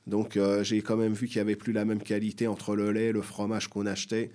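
A middle-aged man from the Seine-et-Marne area of France speaks as a guest in an interview. His voice is fairly low and very even in pitch, and he feels his way through answers, doubling back on words. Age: 40s or 50s. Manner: hesitant, halting, repeating phrases. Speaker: guest writer. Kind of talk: interview answers, personal recollections.

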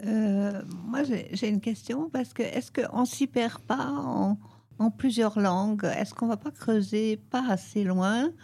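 Woman, slow and halting, mellow voice. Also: medium pitch, creaky, slightly rough. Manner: halting, repeats words. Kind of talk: asking a question.

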